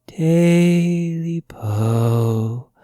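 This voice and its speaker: Man voicing slow, drawn-out eerie swamp creature. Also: a little high, warm tone, no room sound, clean studio sound, pitched above the performer's own voice. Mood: menacing, demanding. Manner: drawn-out, slow, menacing wailing chant. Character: eerie creature haunting an old man, demanding its tail.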